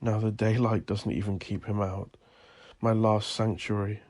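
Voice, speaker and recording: Man acting troubled, brooding man's low inner voice. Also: flat delivery, a little slow, darkish tone, dry recording, clean studio sound. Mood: anxious, brooding. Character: troubled, anxious man thinking to himself, alone. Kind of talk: audio drama character thoughts.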